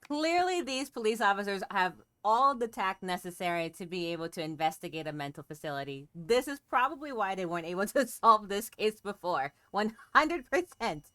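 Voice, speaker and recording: Bright-voiced woman commentating a game. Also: medium pitch, big pitch swings, normal speed, hardly any pauses. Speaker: game streamer. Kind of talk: casual gameplay commentary.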